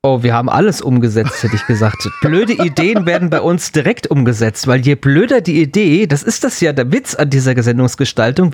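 A man's voice: a little high, creaky, darkish tone, husky, no echo. A man chatting in a casual, playful, joking way as a podcast co-host. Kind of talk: casual comedic podcast banter.

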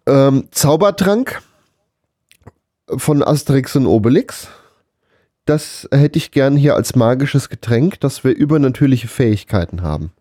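A man's voice: mid-range voice, animated, a little slow, warm tone, no echo, clean studio sound. A man co-hosting a podcast, chatting casually and jokingly. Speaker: podcast co-host.

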